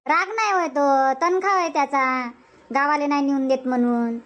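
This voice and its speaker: A woman's voice: sits high, normal speed, fairly bright tone. A woman voicing a chatty village woman in a cartoon family comedy, speaking rural Varhadi Marathi. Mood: explaining, calm.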